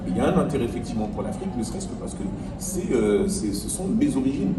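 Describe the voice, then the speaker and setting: A man's voice: medium pitch, sing-song, fast. A man born in France, an elected official, speaking on a panel discussion in an earnest, argumentative way, repeating words as he builds his point. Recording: roomy, distant, noisy ambience.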